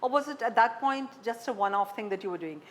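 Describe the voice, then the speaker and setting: Female Indian interviewer, fairly high voice, conversational questioning, slight background noise. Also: animated, quick pace. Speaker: interviewer, host.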